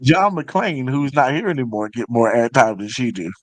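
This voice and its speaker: A man's voice: medium pitch, moderate pace, no room sound. Insistent man in dry-sounding political chat. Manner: insistent, repeats words to get in. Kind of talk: opinionated casual political chat.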